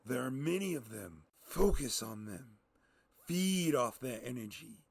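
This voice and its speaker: A man voicing a wise, calm male mentor warrior who speaks softly, slowly and solemnly. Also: mid-range voice, gravelly, no echo, heavily acted. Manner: soft, slow and solemn.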